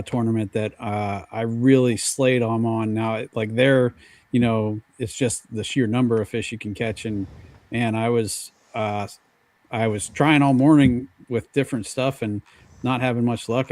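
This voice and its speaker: American male panelist, mid-pitched, dry close sound, hesitant casual storytelling. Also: steady tone, moderate pace, a little mellow, dry recording. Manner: hesitant, searching for words. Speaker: fishing podcast panelist. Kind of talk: casual anecdotal chat.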